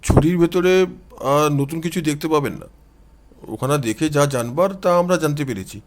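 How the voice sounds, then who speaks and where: A man's voice: on the high side, normal speed, lightly acted. A man voicing a courteous, troubled police inspector who explains things politely in an audio story. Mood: assured.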